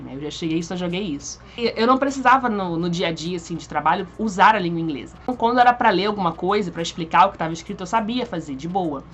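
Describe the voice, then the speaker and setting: A woman's voice: lowish voice, slight background noise. A young woman, telling a personal story to camera in a chatty, informal way. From Brazil. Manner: chatty, informal, frequent fillers and restarts. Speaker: vlogger.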